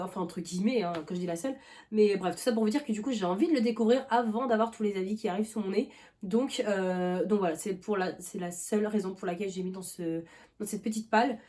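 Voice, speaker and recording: Young woman, book vlogger, mellow tone, rambling casual chat, fillers, occasional cough. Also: medium pitch, expressive, a little fast. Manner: chatty, rambling, many fillers and restarts. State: coughing now and then.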